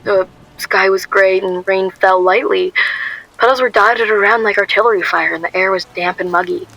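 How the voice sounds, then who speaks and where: A woman's voice: medium pitch, normal speed, vocal fry, a bit bright, low-quality recording. A woman playing an anxious young shop worker, narrating a radio play in a wry, confiding first person. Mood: weary, reflective.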